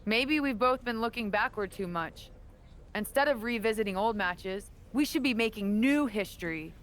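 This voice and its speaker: A woman playing a proud veteran wrestling champion and earnest mentor in a video game cutscene, speaking in a measured, assured way. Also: a little high, expressive, a bit bright, slight background noise. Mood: earnest, conciliatory.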